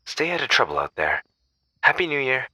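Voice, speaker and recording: A man's voice: mid-range voice, big pitch swings, fairly quick, sharp tone, no room sound, exaggerated voice, rough recording. An actor playing a caring, inquisitive male friend, attentive and conversational, in an audio drama. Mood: curious concern.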